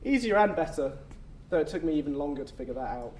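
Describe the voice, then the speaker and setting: Actor as a gentle official, distant and echoing. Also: male voice, a little high, animated, quick pace, close to an everyday voice. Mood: reflective. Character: gentle, reassuring afterlife official. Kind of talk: stage acting. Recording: roomy distant stage sound with noise.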